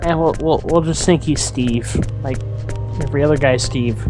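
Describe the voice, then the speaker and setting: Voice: mid-range voice, normal speed, a little mellow, faint music behind, rough recording. A let's play commentator with a playful, teasing manner, riffing casually over a video game.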